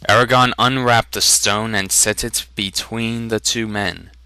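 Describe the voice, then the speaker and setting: Deliberate man narrating, very bright crisp voice. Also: lowish voice, steady tone, no echo, low-quality recording. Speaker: amateur audiobook reader. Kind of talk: dramatic scripted fantasy narration.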